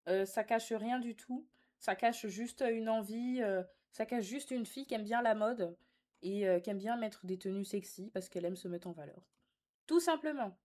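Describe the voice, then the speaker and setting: A young woman talks casually to her live stream audience at a middling pitch. She is sassy and teasing, uses plenty of slang, and moves between reading comments out and answering them directly. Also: moderate pace, warm tone.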